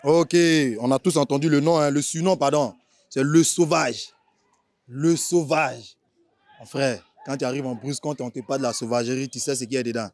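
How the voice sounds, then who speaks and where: A man's voice: mid-range voice, fairly even intonation, moderate pace, a little mellow. A man with an Ivorian accent hosting an informal interview, chatty, using street slang and often rephrasing his questions. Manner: chatty, repeats filler tags and rephrases questions.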